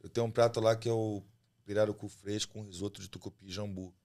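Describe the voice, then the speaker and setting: A man from Rio de Janeiro with a Carioca accent, a chef chatting as a guest on a podcast in a relaxed, talkative way. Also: fairly low pitch, fast. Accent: Carioca Brazilian Portuguese. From Rio de Janeiro, Brazil. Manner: relaxed, chatty, often ends phrases with a tag question. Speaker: chef and restaurant owner, guest. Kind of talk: casual podcast interview.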